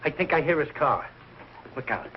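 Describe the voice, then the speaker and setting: A middle-aged man playing a blustering, soft-hearted working-class American father in a 1940s television sitcom, with blunt, slangy, ungrammatical speech. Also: a little high, very animated, moderate pace. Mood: excited, proud. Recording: noisy, dull old television kinescope soundtrack.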